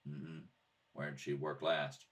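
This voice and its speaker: A man playing a calm, patient police detective in an old-style radio drama, matter-of-fact and deadpan. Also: a bass voice, takes it slowly, pitched below the performer's own voice. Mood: calm, probing. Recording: distant mic in a home kitchen.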